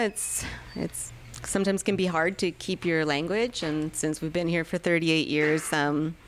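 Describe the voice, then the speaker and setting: A woman's voice: low voice, slight background noise. A woman in her thirties whose first language is Spanish, chatting hesitantly as a guest musician in a radio studio, with many fillers and restarts. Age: 30s. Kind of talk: casual radio interview chat.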